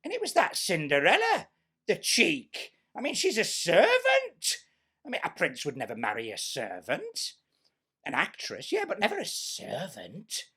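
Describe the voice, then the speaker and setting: A man's voice: high voice, huge pitch swings, unhurried, bright, forceful tone, slightly rough, pitched above the performer's own voice, heavily acted. A man voicing a vain, jealous pantomime step-sister with a British accent, telling a story theatrically with snide, self-important, chatty asides. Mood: indignant.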